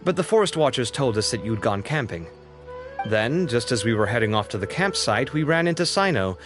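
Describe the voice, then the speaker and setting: A man playing an expressive, fretful, talkative young man in an anime video game. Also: medium pitch, brisk, natural voice. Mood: explanatory. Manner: expressive, conversational, easily startled. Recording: long reverb, music behind.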